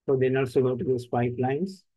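Terse Indian man walking through software. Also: mid-range voice, brisk, no echo, low-quality recording. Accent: Indian English. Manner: terse step-by-step instructions. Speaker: software instructor. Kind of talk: screen-recorded software tutorial.

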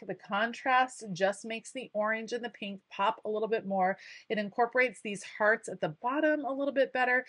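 A woman's voice: fairly high pitch, a bit bright, dry recording. A woman talking casually over her craft footage, chatty and enthusiastic with frequent ums. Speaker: craft video creator.

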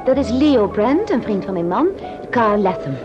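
A woman's voice: a little high, lively intonation, moderate pace, natural voice. A woman playing a detective's courteous, sociable wife in a vintage Dutch radio drama. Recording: muffled old radio recording, music behind.

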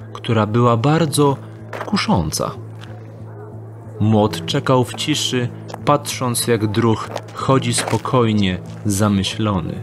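A man narrating an audio drama in a steady, descriptive storytelling manner. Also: medium pitch. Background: music.

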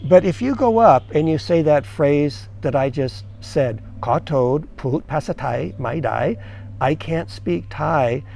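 A man's voice: on the high side, expressive, slow, gravelly, a little mellow. A middle-aged man from the United States, an expat giving earnest, conversational advice to viewers and asking them questions. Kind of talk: casual advice to camera.

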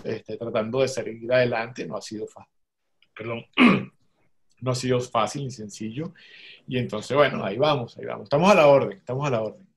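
A man from Venezuela, a journalist, introducing himself on a video call and often restarting words. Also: mid-range voice. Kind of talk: casual self-introduction.

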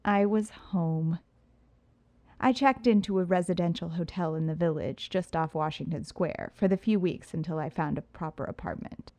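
A woman's voice: on the low side, normal speed, darkish tone, no room sound. A woman reading an audiobook in the first person, with poised, sultry storytelling, recorded on a close studio mic. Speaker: audiobook narrator.

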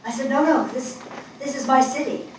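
An older woman acting a grieving, resolute New Yorker in a dramatic stage reading, speaking in emphatic, deliberate short phrases. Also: sits high, fairly even intonation, darkish tone, natural voice. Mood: wistful, resolute. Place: indoor theatre stage. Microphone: distant camera. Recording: echoey stage, distant mic, faint noise.

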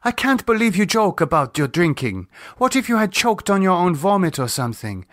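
A man's voice: high-pitched, big pitch swings, fairly quick, a bit bright, dry recording, clean studio sound, pitched above the performer's own voice. A man voicing a worried, caring woman in an audiobook, questioning earnestly with some reproach. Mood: reproachful. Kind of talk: audiobook character dialogue.

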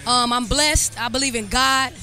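A woman's voice: a little high, fairly even intonation, normal speed, pushed and bright, faint music behind. A woman in her twenties from Detroit, a barber talking confidently and casually about her hair products. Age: 20s. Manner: upbeat, confident, slangy. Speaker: barber, interview guest. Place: event backdrop at a barber competition. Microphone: handheld mic.

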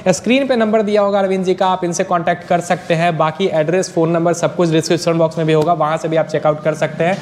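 High-voiced young man hosting a showroom tour. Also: fairly even intonation, fast talker, few pauses, a little mellow, slight background noise. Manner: chatty, repeats the dealer's answers, addresses viewers as brothers. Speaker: vlogger host. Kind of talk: casual product walkthrough. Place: indoor bike showroom. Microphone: clip-on lapel mic.

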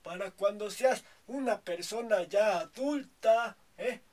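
A man's voice: sits high, slow pace, a little mellow. A man playing a boastful, preachy old Mexican grandfather who rambles and keeps adding "hijo, ¿eh?", in a comedy sketch. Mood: solemn. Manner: rambling, tags nearly every phrase with "hijo, ¿eh?".

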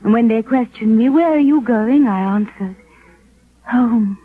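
A woman's voice: on the high side, unhurried, close to an everyday voice. An actress playing a devoted, earnest young woman in love, tender and theatrical in 1940s radio style. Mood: earnest devotion. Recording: muffled, narrow vintage 1946 radio recording.

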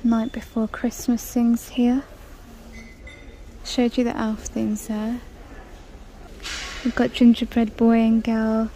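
Female vlogger, flat-ish delivery, reading prices aloud while filming in a store. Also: on the high side, slight background noise, rough recording. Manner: chatty, reads out prices. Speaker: shopping vlogger. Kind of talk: casual shop-with-me narration. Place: large indoor discount store. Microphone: handheld phone camera.

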